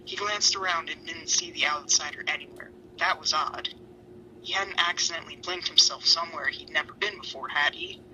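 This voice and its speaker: A woman's voice: deep voice, a little fast, sharp tone. A woman reading a story aloud in an amateur dramatic style, with sweary, self-mocking asides. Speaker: fan fiction reader. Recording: noisy home recording.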